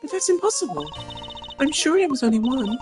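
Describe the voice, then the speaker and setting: A woman's voice: high-pitched, sing-song, brisk, over music, pitched above the performer's own voice. A woman voicing an earnest, easily wounded female flight attendant in a video game, polite, flustered and stammering. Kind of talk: video game dialogue voice acting.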